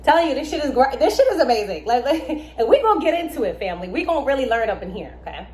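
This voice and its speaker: A young adult woman, born in Germany and raised in America, explaining astrology in a casual, emphatic way with lively asides. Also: high voice, quick pace, a little hiss or hum. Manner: animated, emphatic, with asides and laughs. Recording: echoey room with faint ambience.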